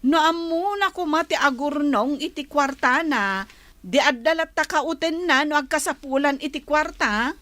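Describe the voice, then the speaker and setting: A woman's voice: sits high, moderate pace, fairly bright tone, no room sound. A woman playing a thrifty, long-suffering elder sister in an audio drama, sighing in reproach with an Ilocano accent. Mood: resigned reproach. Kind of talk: Ilocano radio-style drama acting.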